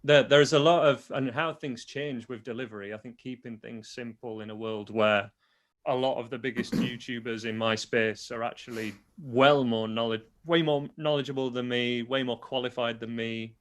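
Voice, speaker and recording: A man's voice: mid-range voice, steady tone, normal speed. A young adult man with a toned-down Yorkshire accent, a guitar teacher talking casually in an interview and explaining things plainly with frequent restarts. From Yorkshire, England. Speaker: guitar teacher and online video creator.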